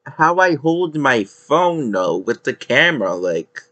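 A man's voice: mid-range voice, very animated, slow pace, fairly bright tone. A young man on a gaming headset in his living room, casually reacting to his own footage and rambling with many fillers. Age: young adult. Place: living room with a couch, indoors.

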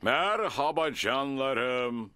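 A man's voice: mid-range voice, animated, extremely slow, very noisy. A man voicing a blustering old grandpa dog who plays a hearty, pompous pirate captain in a children's cartoon. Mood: hearty, scheming.

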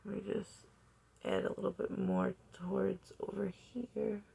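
A young woman vlogging a makeup tutorial at home, talking casually and softly, often near a whisper, with a slightly raspy voice, recorded close on a phone in a bedroom. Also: mid-range voice, little pitch movement, unhurried. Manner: soft-spoken, often near a whisper while working. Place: bedroom, indoors. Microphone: phone camera close up.

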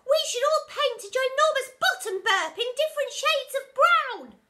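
A woman voicing rowdy, cheeky schoolchildren who shout and mock. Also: animated, normal speed, heavily acted. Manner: shrill, shouted, mocking. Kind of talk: storybook character voice.

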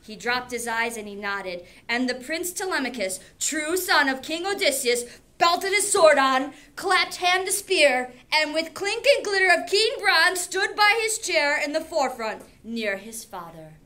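High, bright-voiced woman teacher. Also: animated, measured pace. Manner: theatrical, informal. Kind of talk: animated classroom read-aloud with explanatory asides. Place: classroom, indoors. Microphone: camera a few metres away. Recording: classroom camera with background noise.

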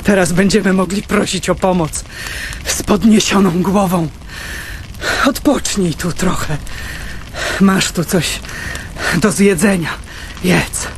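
An actress playing a generous bombing survivor in a radio play, consoling her family with gentle, halting encouragement. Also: lowish voice, steady tone, a little hiss or hum. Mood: consoling, weary.

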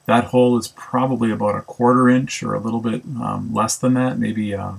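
Male shop teacher, raspy low voice with heavy fry, easygoing, self-correcting narration. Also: brisk. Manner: relaxed, thinks aloud with many ums and self-corrections. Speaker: woodworking instructor. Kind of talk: casual how-to narration. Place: home woodshop.

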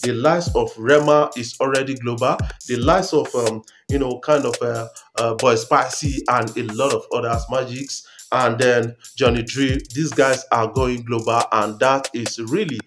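Nigerian man, slightly higher-pitched, music behind. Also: steady tone, moderate pace, few pauses, fairly bright tone. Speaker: music news vlogger. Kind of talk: informal entertainment news commentary to camera. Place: small room at home, indoors.

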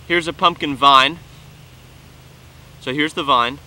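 A man's voice: fairly high pitch, slow, bright, forceful tone. A young man in his twenties on a lapel mic, explaining step by step with enthusiasm while handling plants in an open farm field. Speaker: farm educator. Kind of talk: outdoor teaching walk-through.

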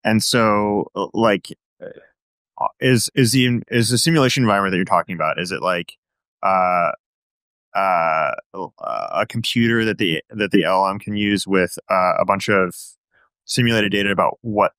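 Low-voiced man interviewing. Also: moderate pace, fairly bright tone, no echo. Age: 30s. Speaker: interviewer, podcast host. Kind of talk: casual interview questioning. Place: event podcast set with table. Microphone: close studio dynamic mic on arm.

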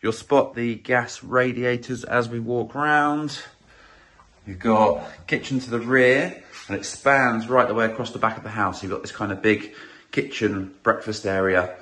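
A man giving a chatty, upbeat walk-through tour of a house as an estate agent, his voice a little croaky, filmed on a handheld phone indoors. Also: mid-range voice, steady tone, a little slow, a bit bright. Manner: chatty, upbeat, filler-heavy with many "um"s. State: slightly croaky voice. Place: inside a small house, walking room to room.

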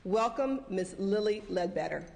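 A woman's voice: medium pitch, animated, normal speed, vocal fry, a little mellow. Woman giving a formal introduction in a hall. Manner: reads a quote aloud. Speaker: legislator introducing a guest. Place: large legislative chamber. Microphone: podium microphone. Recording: reverb from a large hall.

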